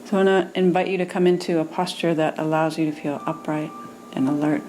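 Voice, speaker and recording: Woman, meditation teacher, low voice, even intonation, soothing guided meditation, gentle pauses, faint background noise.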